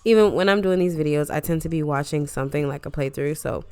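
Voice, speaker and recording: A woman's voice: sits low, quick pace, warm tone. A woman hosting a casual makeup tutorial, chatty and rambling with asides, her voice a bit congested and scratchy from a cold. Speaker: beauty vlogger.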